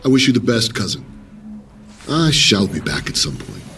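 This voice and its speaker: A man playing a solemn veteran commander turned spiritual leader in an audio drama, speaking in a measured, formal, earnest way. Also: lowish voice, very animated, sharp tone, far from the mic, soft background music. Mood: solemn, kind.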